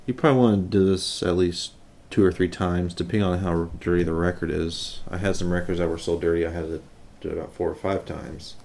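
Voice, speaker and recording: Low, gravelly man giving a casual tutorial. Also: fairly even intonation, a little mellow, a little hiss or hum. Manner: unhurried, halting, thinking aloud while working. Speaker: hobbyist demonstrating a how-to. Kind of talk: casual unscripted hands-on tutorial.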